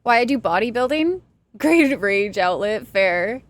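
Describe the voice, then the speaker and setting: Young texas woman streaming, chatty and jokey, laughs at herself a lot, kinda high voice. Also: expressive, unhurried. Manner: chatty, self-deprecating, laughs often. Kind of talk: casual chat with stream chat while gaming.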